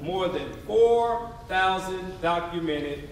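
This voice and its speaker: A man's voice: sits high, expressive, slow, crowd noise. Echoey distant live recording, man, theatrical oration, emphatic preacher-like delivery, audience sound. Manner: emphatic, declamatory, preacher-like call and response. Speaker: stage narrator. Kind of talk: dramatic readers theatre oration. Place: theatre stage before a responding audience.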